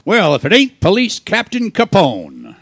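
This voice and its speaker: Man playing creaky hardboiled radio private eye. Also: high voice, huge pitch swings, a little slow, fairly bright tone, exaggerated voice. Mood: confident. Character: wisecracking hardboiled male private detective narrating his case. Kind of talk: old-time radio detective play, performed live.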